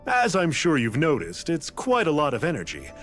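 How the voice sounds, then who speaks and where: A man's voice: a little high, huge pitch swings, moderate pace, fairly bright tone, soft background music. A man voicing a blithe, eccentric scientist in a video game, lecturing with theatrical, casual detachment. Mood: blithe, detached. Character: eccentric, blithe male scientist, coolly explaining a grand scheme. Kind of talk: scripted video game voice acting.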